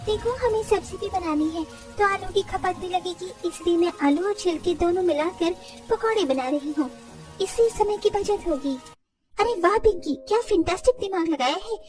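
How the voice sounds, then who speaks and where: A woman's voice: very high-pitched, normal speed, a little mellow, recorded from a distance, soft background music. A woman playing a young, resourceful, confident village daughter-in-law in moral-story cartoon dialogue. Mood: brisk, practical.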